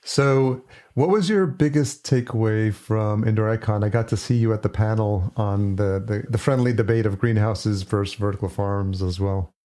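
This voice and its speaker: A man's voice: lowish voice, moderate pace, few pauses, dark tone. A man hosting a podcast, who chats in a friendly way and laughs easily as he introduces guests. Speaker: podcast host.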